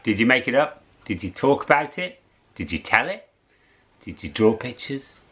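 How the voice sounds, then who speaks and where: A middle-aged man telling a children's story, dramatic, dropping to whispers and rising high for effect. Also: big pitch swings, moderate pace, sharp tone.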